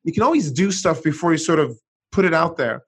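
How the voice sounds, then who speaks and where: Middle-aged man from Sweden, guest author, lively voice on the higher side, restarts a lot. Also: fairly quick, breathy edge. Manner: animated storytelling, frequent restarts. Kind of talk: conversational interview.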